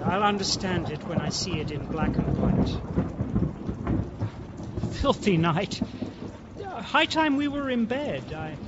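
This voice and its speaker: An actor playing a genial, avuncular country gentleman in a radio drama, hearty and slyly affable. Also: high-pitched. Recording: dull, noisy old radio-play sound.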